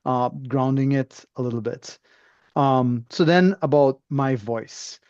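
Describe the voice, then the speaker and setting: A man's voice: medium pitch, slow pace, a little mellow, dry recording. A Trinidadian man musing discursively as a podcast guest, heard through slightly muffled, very dry audio. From Trinidad and Tobago. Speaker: interview guest, engineer. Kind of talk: conversational, reflective interview.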